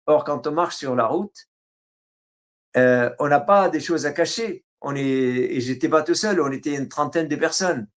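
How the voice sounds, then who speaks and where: A middle-aged man with an Arabic accent, a native Arabic speaker from Syria, telling his life story as an interview guest, halting, with many fillers and restarts. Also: fairly high pitch. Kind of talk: autobiographical interview.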